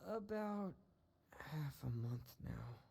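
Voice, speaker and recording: An actor playing a shy, soft-hearted teenage boy in a video game, halting, timid and earnest. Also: on the high side, animated, vocal fry, slight background noise, heavily acted. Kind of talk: video game dialogue acting. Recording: game music behind the voice.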